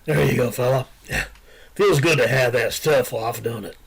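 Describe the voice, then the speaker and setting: A man's voice: medium pitch, gravelly, slight background noise, lightly acted. A man playing an easygoing, folksy Old West sheriff with a drawling cowboy way of talking in a radio western. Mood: gentle, affectionate. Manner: folksy drawl, chuckling, unhurried cowboy talk. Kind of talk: radio western drama acting.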